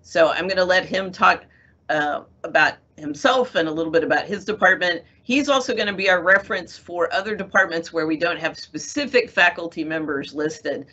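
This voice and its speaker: Middle-aged woman, panel moderator, bright tone, muffled call audio. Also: lowish voice, normal speed, breathy edge. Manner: friendly, organized introductions. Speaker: moderator, assistant dean. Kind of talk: hosting a video-call panel. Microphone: video call. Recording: dull lo-fi video-call audio.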